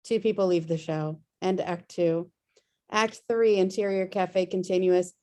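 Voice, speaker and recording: A woman's voice: fairly low pitch, fairly even intonation, a little slow, a little mellow. A woman reading screenplay stage directions as scripted narration on a video-call table read.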